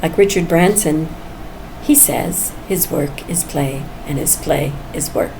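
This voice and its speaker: An older woman, around seventy, speaks slowly in a low, gravelly voice. She muses candidly and casually, as in a personal vlog, with clear background noise behind her. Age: almost 70. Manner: candid, musing, chuckles often. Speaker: vlogger. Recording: noisy background ambience.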